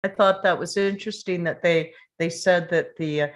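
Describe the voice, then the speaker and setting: Slightly low-voiced woman chatting. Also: fairly even intonation, normal speed, slight background noise. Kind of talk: casual group discussion.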